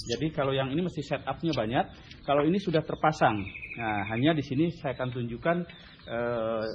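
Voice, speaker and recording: Seorang pria berlogat Indonesia menjelaskan perlengkapan secara santai, agak tersendat dan sering menyelipkan penegasan pendek di ujung kalimat. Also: male voice, medium pitch. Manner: explanatory, halting, often tags phrases with "ya". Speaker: amateur radio hobbyist and touring rider presenting his gear. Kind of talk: informal explainer and equipment demonstration. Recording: dull lo-fi sound with light background noise.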